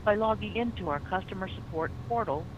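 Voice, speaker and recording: A man's recorded voice reading an automated phone menu in an even, formal tone over a muffled, noisy line. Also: sits high, fairly quick.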